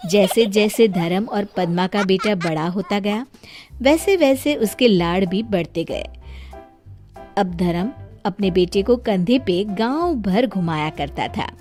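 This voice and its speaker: A woman's voice: medium pitch, slow pace, darkish tone, faint music behind. A woman reading a scripted children's story as its narrator.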